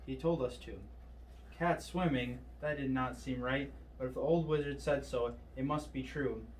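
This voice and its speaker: Male storybook reader, mid pitch, distant mic, slight noise. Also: fairly even intonation, moderate pace. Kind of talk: read-aloud children's story narration.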